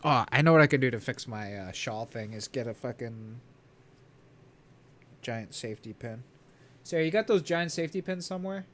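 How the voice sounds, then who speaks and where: A man's voice: mid-range voice, animated, moderate pace, long pauses, a bit bright, slight background noise. Sniffly, stuffed-up male streamer. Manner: rambling, profane, laid-back. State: congested, sniffly nose. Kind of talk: casual live-stream chat. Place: home.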